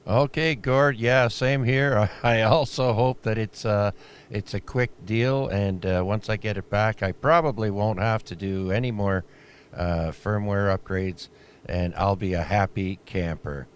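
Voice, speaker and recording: A man acting as net controller on an amateur radio net, talking in a relaxed, halting way with many fillers. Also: on the low side. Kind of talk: ham radio net chatter. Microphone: radio transceiver mic. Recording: noisy shortwave radio audio.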